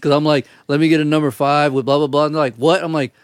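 A man's voice: on the high side, flat delivery, fast, smooth, dry recording. A man hosting a podcast in casual chat, joking, swearing and acting out stories. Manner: joking, profane, acts out stories in voices. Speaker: podcast host.

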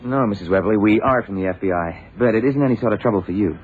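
An actor playing an eager, questioning junior FBI agent in a radio crime drama. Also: male voice, on the low side, quick pace, over music, natural voice. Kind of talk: 1960s radio drama acting. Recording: phone-like, noisy old radio recording.

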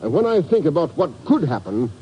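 Earnest higher-voiced ship's captain. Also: male voice, big pitch swings, fairly quick. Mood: worried, defensive. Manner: anxious, frank. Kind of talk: 1950s radio drama acting. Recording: muffled, faintly noisy old radio recording.

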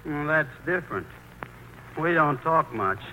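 A man's voice: fairly high pitch, animated, a little slow. An actor playing a timid, hesitant grown son tied to his mother, in 1940s radio acting style. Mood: anxious, whiny. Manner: hesitant, stammering, bashful. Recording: noisy old radio broadcast recording.

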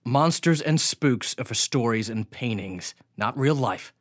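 A man's voice: medium pitch, lively intonation, measured pace, no echo, clean studio sound. An actor playing a breezy, skeptical young man in a radio drama, casual and flippant with a teasing edge. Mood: dismissive, teasing.

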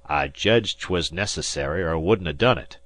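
A man doing a gruff old sea captain. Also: low-pitched, expressive, fairly bright tone, no room sound. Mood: grudging. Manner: slow rustic dialect, halting admissions. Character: gruff old fishing-schooner captain, grudging.

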